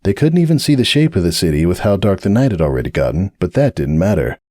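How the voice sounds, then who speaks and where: A man narrating a dramatic reading of fan fiction in an expressive storytelling manner. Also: sits low, fast talker, dry recording, studio quality. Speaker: narrator, reader.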